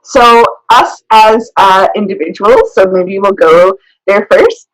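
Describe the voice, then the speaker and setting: Woman guest on a podcast, mid pitch, casual, stops to think a lot. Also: slow pace, low-quality recording. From born in Thailand, raised travelling the world. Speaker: interview guest, small business owner. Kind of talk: casual chat.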